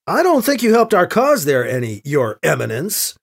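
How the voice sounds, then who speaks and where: A man's voice: sits high, wildly swooping pitch, fairly quick, voice pushed up, heavily acted. A man voicing a wry church agent priest in an audio drama, polite and probing with dry sarcasm. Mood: sarcastic. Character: wry, smooth-talking male priest and church agent, deferential yet sarcastic.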